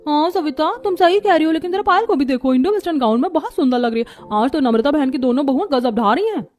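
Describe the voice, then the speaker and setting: A woman voicing polite young women of an Indian family in a cartoon story, with expressive delivery. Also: high-pitched, fast talker. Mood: admiring. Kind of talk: scripted cartoon story dialogue.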